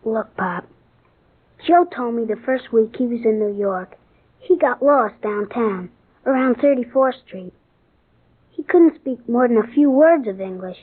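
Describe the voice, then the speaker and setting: An American schoolboy, earnest and kind-hearted. The voice is fairly high and husky, with a plain, matter-of-fact delivery. It comes through a thin, tinny old radio drama recording. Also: female voice, lively intonation, a little slow. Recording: tinny, band-limited old radio broadcast.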